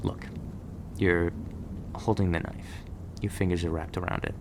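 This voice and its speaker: A man playing a gruff, guarded agent who trains a rookie in a terse, stern manner in an ASMR roleplay. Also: low voice, fairly even intonation, measured pace, noisy background. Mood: stern, patient. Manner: terse, stern instructing, stammers when flustered.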